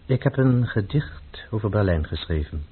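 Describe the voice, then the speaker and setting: An actor playing a stern, devout, grave poet in a radio play, speaking in a measured, formal way. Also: male voice, lowish voice, expressive, breathy edge. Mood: grave, earnest. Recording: muffled, phone-like old radio recording.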